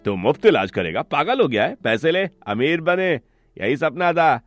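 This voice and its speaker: A man's voice: a little high, big pitch swings, moderate pace, dry recording, soft background music. A man playing a greedy, suspicious village father in a cartoon story. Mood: scornful.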